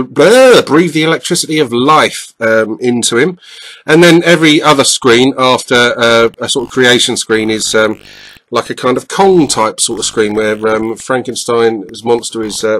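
A man's voice: mid-range voice, fairly bright tone, no echo, rough recording. A middle-aged man with a stuffed-up nose, casually commenting over a retro game with lots of ums and uhs. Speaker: retro gaming YouTuber.

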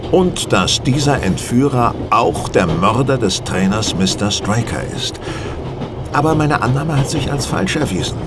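Shrewd, self-assured male detective, radio drama. Dry, measured explaining, very animated intonation, heavy vehicle noise. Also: medium pitch. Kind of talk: German radio play dialogue. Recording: loud train and vehicle noise behind.

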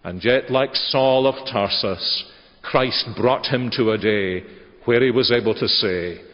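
An older man preaching a measured sermon from a church lectern microphone. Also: medium pitch. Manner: measured, gesturing preaching cadence. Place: large church sanctuary. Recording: dull church webcast audio with long reverb.

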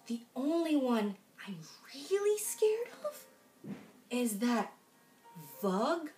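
A young adult woman reading aloud at a children's storytime, expressive and sing-song, with little asides to young listeners. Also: high-pitched, slow, faint music behind. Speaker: children's storytime reader in princess costume. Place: small room at home, indoors.

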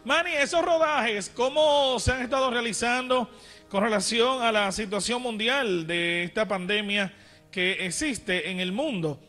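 A middle-aged man hosting a TV talk show, asking quick questions into a handheld mic. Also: very high voice, sharp tone, soft background music. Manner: brief reactions and quick questions. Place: TV studio.

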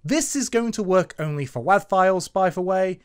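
Male presenter for an informal screencast lesson; highly animated intonation, emphatic sing-song explanations. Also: moderate pace, clear, resonant tone. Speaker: tutorial host. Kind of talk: informal step-by-step screencast tutorial narration.

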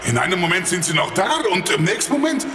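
Ein Mann spielt einen betrunkenen Obdachlosen, schwankende Stimme. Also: male voice, sits high, sing-song, fast talker, bright, forceful tone, reverberant, a little hiss or hum, full character voice. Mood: rambling. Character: drunken homeless man, rambling and eccentric. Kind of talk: audio drama acting.

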